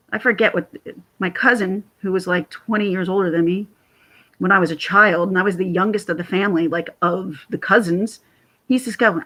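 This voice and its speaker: A woman with a raspy voice chatting casually to camera on her vlog, rambling and laughing often. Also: fairly low pitch, fairly bright tone. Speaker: YouTube commentator.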